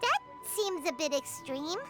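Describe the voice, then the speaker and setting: Tiny floating sprite, curious, bouncy questions, squeaky, loud music behind. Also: female voice, expressive, unhurried. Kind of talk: video game dialogue. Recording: game voice over loud music. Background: loud game music.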